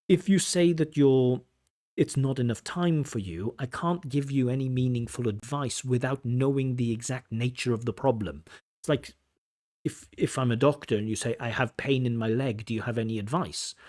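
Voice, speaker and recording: Blunt, mellow-voiced male IELTS examiner. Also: mid-range voice. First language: English. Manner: direct, blunt advice with rhetorical questions. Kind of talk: livestream Q&A with viewers.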